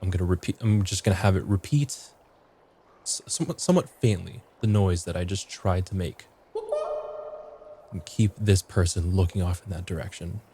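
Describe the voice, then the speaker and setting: A man with a fairly deep voice plays in a tabletop roleplaying game. His casual talk is halting, with repeated and restarted phrases. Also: warm tone, breathy edge. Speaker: guest player in a tabletop game.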